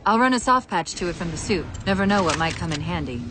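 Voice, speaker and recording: A woman's voice: lowish voice, animated, quick pace, background noise, lightly acted. A woman playing a composed, witty female AI companion in a video game, with an articulate, dry delivery. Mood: focused. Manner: articulate, dry, tactical briefing with wry asides.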